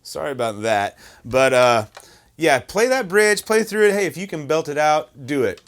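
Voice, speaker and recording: A man with a baritone voice teaching a worship song on guitar, talking in a relaxed, self-deprecating way full of ums and uhs. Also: moderate pace. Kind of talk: casual guitar tutorial talk. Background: acoustic guitar playing.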